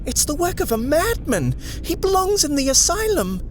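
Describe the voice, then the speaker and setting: A woman's voice: sits high, sing-song, moderate pace, a little hiss or hum, pitched above the performer's own voice. A man voicing a frightened young orphaned woman who pleads breathlessly in an audio story. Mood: pleading. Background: music.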